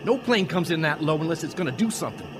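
Man acting a young American GI, high lively voice, wary, radio drama, faint background noise. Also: fast, close to an everyday voice. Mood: tense. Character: young American WW2 soldier, alert and wary.

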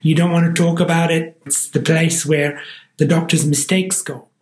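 A man's voice: a little high, little pitch movement, normal speed. A man with an Irish accent hosting a conversation, telling stories in an emphatic way. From Ireland. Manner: emphatic, storytelling. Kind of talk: podcast conversation. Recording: roomy echo.

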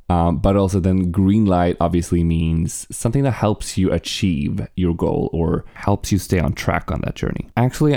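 A man's voice: low-pitched, warm tone, no echo, clean studio sound. A man whose first language is Swedish, hosting his own podcast in a casual, earnest and upbeat monologue as an actor.

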